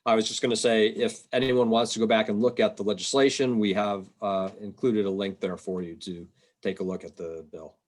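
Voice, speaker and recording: Low-voiced man presenting, a bit halting. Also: fairly even intonation. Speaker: state revenue agency policy presenter. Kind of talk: informal slide presentation on a conference call. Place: indoors, on a video call. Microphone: video call microphone.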